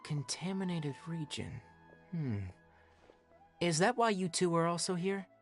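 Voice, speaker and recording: A man playing a young, sensible forest ranger in an anime game, brisk, caring and matter-of-fact. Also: on the high side, soft background music. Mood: determined. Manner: matter-of-fact, gently scolding.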